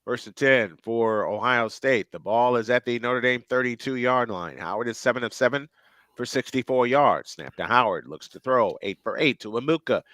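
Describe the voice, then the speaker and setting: A man doing live play-by-play commentary on a football game, surging in pitch and volume on big plays and often repeating phrases. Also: normal speed, a bit bright, dry recording. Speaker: play-by-play announcer.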